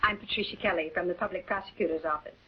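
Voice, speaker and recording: An actress with an American accent playing a perky, wry assistant investigator in a 1940s television crime drama. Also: female voice, lowish voice, rapid-fire, husky. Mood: courteous. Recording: muffled old 1940s film soundtrack with hiss.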